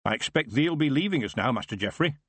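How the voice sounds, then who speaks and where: A man's voice: mid-range voice, animated, quick pace, a bit bright, husky, dry recording, pitched above the performer's own voice. A man voicing a very old, shrewd stable hand who talks in plain rustic dialect. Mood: wry.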